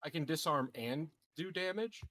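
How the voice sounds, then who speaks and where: A man's voice: a little high, expressive, normal speed, fairly bright tone, no echo. A man chatting casually as a player in a tabletop roleplaying game, brash and given to swearing. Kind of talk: casual gaming chat.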